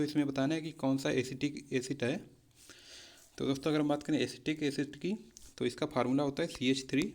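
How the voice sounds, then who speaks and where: Male coaching teacher, mid-pitched voice, instructional delivery walking students through quiz answers. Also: a little mellow. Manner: instructional, repeatedly checking key points. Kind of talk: explanatory quiz lesson, addressing students as friends.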